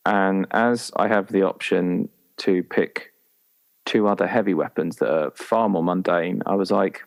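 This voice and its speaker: Low-voiced man, playful and rambling. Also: unhurried, vocal fry. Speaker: tabletop roleplaying player. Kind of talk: casual chat about a game character.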